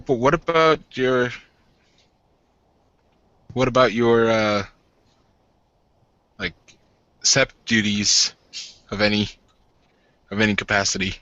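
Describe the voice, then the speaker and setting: A man's voice: lowish voice, slow, long pauses, bright, forceful tone, no room sound, dull audio, pitched below the performer's own voice, full character voice. A man playing a hesitant, awkward, reassuring new husband in a roleplaying game. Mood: hesitant, wary.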